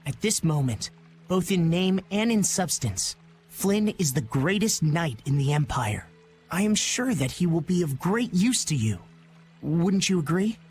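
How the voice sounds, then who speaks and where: A man voicing a gentle, earnest young prince in a video game, speaking in a formal, measured, dignified manner. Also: fairly high pitch, lively intonation, soft background music.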